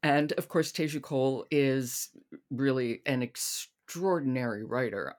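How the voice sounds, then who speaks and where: Woman, podcast host, low-pitched voice, conversational, interviewing style. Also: measured pace, darkish tone.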